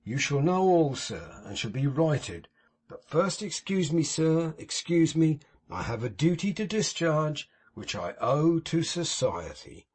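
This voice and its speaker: A man voicing a pompous, sanctimonious middle-aged gentleman in an audiobook, speaking in a grand, moralizing manner with a husky tone. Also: fairly high pitch, sing-song, a little slow, full character voice. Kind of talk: audiobook character reading.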